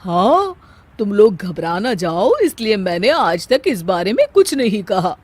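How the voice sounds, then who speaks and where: Woman acting a scheming, animated mother-in-law. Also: mid-range voice, a little slow, husky, no echo. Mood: falsely sweet. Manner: sarcastic, muttering asides. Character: scheming, grumbling middle-aged mother-in-law.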